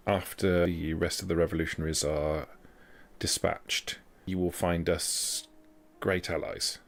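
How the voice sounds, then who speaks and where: A man voicing a formal, guarded vampire woman with careful, measured wording, in a tabletop game. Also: low voice, flat delivery, gravelly.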